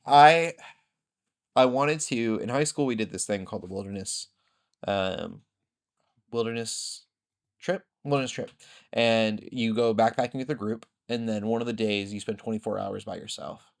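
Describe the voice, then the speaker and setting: A man hosting a podcast, telling rambling, animated stories in casual conversation. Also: on the low side, moderate pace, creaky. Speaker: podcast host.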